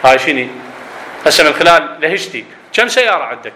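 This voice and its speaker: Iraqi male teacher, bright, raised voice, quizzes his class, echoey room. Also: fairly high pitch, big pitch swings, quick pace. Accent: Iraqi Arabic. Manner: explanatory, asks students questions, slips into dialect. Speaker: grammar teacher. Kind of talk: classroom lesson on Arabic grammar.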